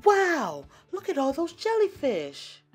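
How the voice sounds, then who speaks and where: A woman voicing an excitable, dramatic young male sea turtle in a storybook, exclaiming in an animated way. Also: high-pitched, takes it slowly, pitched above the performer's own voice, heavily acted. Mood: amazed.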